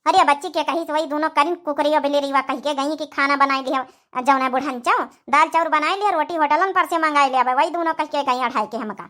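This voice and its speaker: A woman's voice: high voice, quick pace, few pauses, a bit bright. A woman voicing an aggrieved, weary old village mother-in-law in a comedy cartoon, plaintive, with an Awadhi accent. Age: older. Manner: complaining, plaintive. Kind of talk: comedy cartoon family drama.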